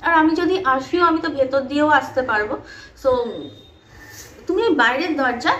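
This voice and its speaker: A woman's voice: high voice, moderate pace, a bit bright, dull audio. A woman voicing a strict mother in an audio ghost story, firmly laying down family rules. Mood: firm, stern. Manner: firm, instructing. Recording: roomy echo, faint background noise.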